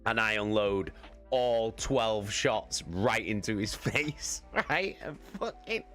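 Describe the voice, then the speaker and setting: A man with a fairly low voice tells a casual, sweary story in a highly animated way, his pitch swinging widely. He speaks at an unhurried pace and repeats phrases for emphasis. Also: faint music behind. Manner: sweary, excitable, emphatic repetition, giggly. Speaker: live streamer telling a story. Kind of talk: casual rambling anecdote.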